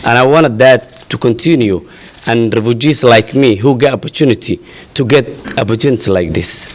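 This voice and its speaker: A young man from Somalia, a refugee advocate, gives a halting, earnest statement at a press conference, full of pauses and fillers. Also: medium pitch. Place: outdoor press event.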